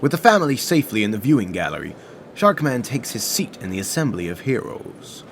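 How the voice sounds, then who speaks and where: A man's voice: mid-range voice, very animated, fairly quick, a little hiss or hum. A man playing a grandiose, theatrical narrator of a superhero radio play. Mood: portentous. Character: dramatic, bombastic superhero serial narrator.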